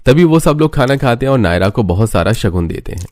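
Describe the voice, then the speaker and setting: A man's voice: medium pitch, lively intonation, quick pace, a little mellow, no echo, studio quality. A man narrating a scripted cartoon family story.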